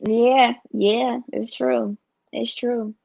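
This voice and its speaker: Gravelly-voiced woman host, dry lo-fi sound. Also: flat delivery, extremely slow, a little mellow, dry recording. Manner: frequent affirmations, preachy when she speaks up. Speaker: podcast host. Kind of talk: affirming faith podcast chat. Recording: dull, dry, low-fi.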